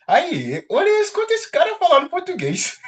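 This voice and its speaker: A man's voice: extremely high pitch, normal speed. A man from Congo, speaking English as a second language, talking casually with a class about learning languages. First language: not English. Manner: animated, expressive, laughs often. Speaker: guest.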